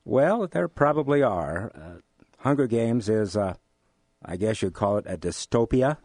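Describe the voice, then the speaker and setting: An American man of mature years speaking as an economics professor and interview guest, explaining things in a deliberate, emphatic way. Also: fairly low pitch, animated, darkish tone, no echo, studio quality. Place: radio studio.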